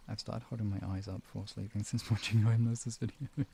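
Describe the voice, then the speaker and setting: A man with a lowish, creaky voice chats casually as he hosts a live stream. He wanders through his thoughts and often leaves them unfinished. The tone is soft-edged and dark, with slight noise behind him. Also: flat delivery, fast. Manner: informal, rambling, trailing off mid-thought. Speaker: live stream host and relaxation guide. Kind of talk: casual, improvised live-stream chat with viewers.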